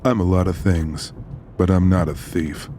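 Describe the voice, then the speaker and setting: A man playing a calm, protective tough guy with a tender side, speaking steadily in an audiobook drama. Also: deep voice, measured pace, slight background noise. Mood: steady.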